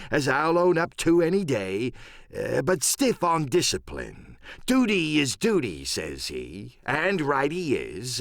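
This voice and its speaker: A man voicing an older pirate captain in an audiobook, sly and genial yet menacing, drawling sailor's slang in unhurried phrases. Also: on the high side, creaky, a bit bright, no room sound, clean studio sound, voice pushed up, full character voice. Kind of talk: audiobook radio-play character acting.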